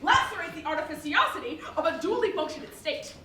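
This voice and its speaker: A woman's voice: very high-pitched, animated, fast talker. A woman acting a pompous Roman officer in florid, declaimed oratory in a staged theatrical reading. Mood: pompous, commanding. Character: bombastic, pompous Roman officer rallying soldiers. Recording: very echoey hall from far, faint noise.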